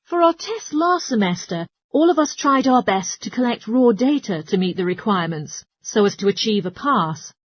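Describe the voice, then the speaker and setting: A woman's voice: mid-range voice, animated, normal speed, hardly any pauses, natural voice. A woman playing a helpful, studious geography student in a scripted listening-test dialogue. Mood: helpful, reassuring.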